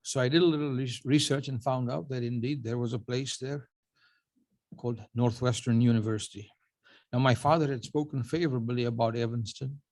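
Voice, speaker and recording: An older man in his seventies, originally from Uganda, delivers a prepared acceptance speech. He tells his life story at a measured pace, with dry, self-mocking humor. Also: medium pitch, fairly even intonation, darkish tone. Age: 70s. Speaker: award honoree giving a speech.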